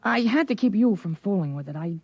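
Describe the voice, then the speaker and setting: A male actor plays a thirteen-year-old who sounds smarter than his years. The voice is high and youthful, with earnest, articulate delivery in old radio style. The sound is very dry. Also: lively intonation, fairly quick, slightly rough, no room sound, lo-fi sound, exaggerated voice. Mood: confident. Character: clever, self-assured thirteen-year-old boy, lawyer's son. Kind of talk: 1950s radio drama acting.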